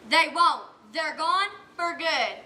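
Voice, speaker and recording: A woman acting a gruff, hard-bitten farm wife on stage, blunt and rustic in her speech, projecting to the house. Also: sits high, animated, slow pace, bright, forceful tone, full character voice. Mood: firm, wry. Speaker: student actor in a one-act play. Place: indoor stage, recorded live. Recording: roomy stage recording, faint background noise.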